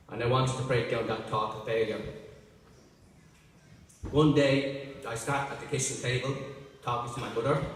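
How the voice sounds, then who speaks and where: A middle-aged Irish man delivers a prepared speech slowly and deliberately, each phrase set apart. He is heard from far off in a large ceremony hall. His voice trails into a long, roomy reverb. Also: medium pitch, a little hiss or hum. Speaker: graduate giving a speech.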